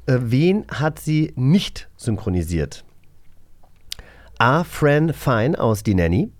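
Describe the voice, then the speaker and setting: A man's voice: medium pitch, big pitch swings, slow. A man hosting a casual podcast, talking in a chatty, rambling way as he explains and asks questions. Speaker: podcast host and quizmaster. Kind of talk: casual radio play podcast talk.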